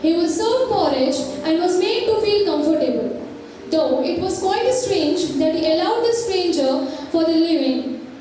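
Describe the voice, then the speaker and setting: A woman's voice: very high voice, animated, a little slow, warm tone. A woman giving scripted narration for a stage play. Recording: very echoey hall, noisy.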